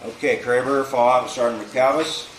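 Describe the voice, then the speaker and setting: An American man working as a military drill instructor, calling the roll and assigning teams in a commanding, gruff, informal and salty way. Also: mid-range voice, steady tone, a little fast. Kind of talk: roll call and team assignments. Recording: echoey space with faint background noise.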